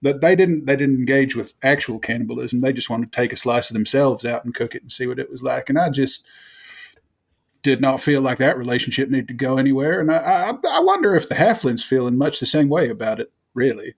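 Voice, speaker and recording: Man playing an opinionated monk, medium-pitched voice. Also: lively intonation, gravelly, slightly rough. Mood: musing. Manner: folksy, opinionated, drawn-out musing. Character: easygoing, opinionated water genasi monk. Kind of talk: improvised tabletop role-play.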